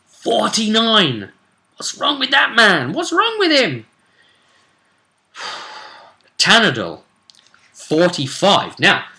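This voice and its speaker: A man's voice: mid-range voice, sharp tone. A man with a British accent giving a chatty, emphatic recap of a tabletop roleplay session as its host, talking straight to his audience. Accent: British English. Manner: emphatic, conversational, addressing the audience. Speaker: game master and host.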